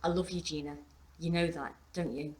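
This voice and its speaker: Woman reading as quiet, earnest, low-pitched father. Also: steady tone, moderate pace, close to an everyday voice. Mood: earnest, apologetic. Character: earnest, withdrawn father hiding trouble. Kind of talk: dramatised novel reading.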